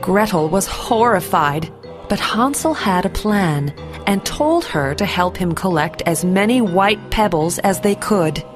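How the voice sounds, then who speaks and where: A woman storyteller reading a scripted bedtime fairy tale for children in a measured, expressive way. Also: medium pitch, music in the background.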